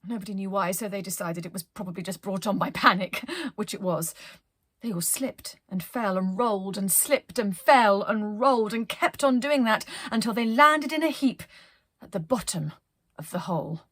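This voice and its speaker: A woman narrating a children's story as a storyteller, in an expressive read-aloud style. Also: medium pitch, normal speed, no echo.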